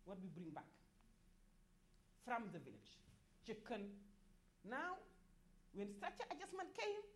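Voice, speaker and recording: Passionate Senegalese woman, halting delivery. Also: high voice. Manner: halting, many restarts and repeated words, rising in force on key points. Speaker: activist speaker at a development forum. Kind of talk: impassioned panel speech.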